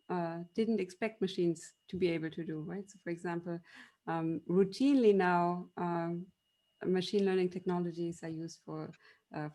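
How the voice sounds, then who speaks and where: A woman's voice: mid-range voice, mellow. A woman from Germany with a German accent, a university machine learning lecturer giving debate panel remarks in an explanatory, storytelling way, with many ums and restarts.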